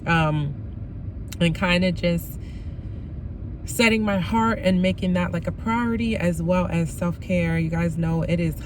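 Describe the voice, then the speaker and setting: Woman vlogger, slightly raspy, lowish voice, rambling filler-heavy chat, flavor, car noise behind. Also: measured pace. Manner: rambling, many ums and likes. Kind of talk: casual vlog chat.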